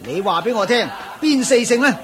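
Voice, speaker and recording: A man's voice: very high-pitched, lively intonation, normal speed, a bit bright. A man voicing a middle-aged auctioneer who chuckles and lectures in a knowing, chatty way in a radio play. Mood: amused.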